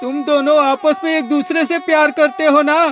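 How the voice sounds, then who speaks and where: Man acting high-pitched, melodramatic jealous husband. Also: fairly even intonation, moderate pace, highs cut off. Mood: angry accusing. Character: suspicious, hot-tempered jealous husband in a cartoon moral story. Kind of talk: melodramatic Hindi cartoon story acting.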